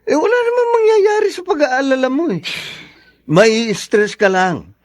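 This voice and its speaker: Irritable high-voiced Filipino husband. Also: male voice, expressive, unhurried. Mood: irritated. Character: irritable, sarcastic, insolent husband. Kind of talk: Filipino radio drama acting.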